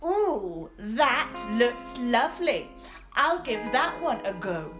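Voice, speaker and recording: A woman's voice: on the high side, very animated, slow pace, over music. A woman voicing a cheeky, fussy little girl in a children's puppet story, exclaiming and drawing out her words. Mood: delighted.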